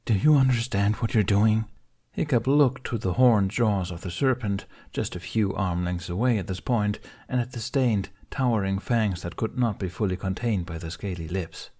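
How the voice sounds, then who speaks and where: A man with a low-pitched, husky voice narrates an audiobook. His delivery is scripted, dramatic fantasy storytelling. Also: moderate pace, few pauses, warm tone.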